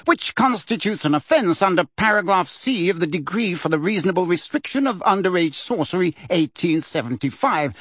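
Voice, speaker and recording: A man's voice: high-pitched, fairly bright tone, dry recording, clean studio sound, pitched above the performer's own voice, exaggerated voice. A man reading aloud, voicing a pompous middle-aged male official who talks in a testy, fussy way.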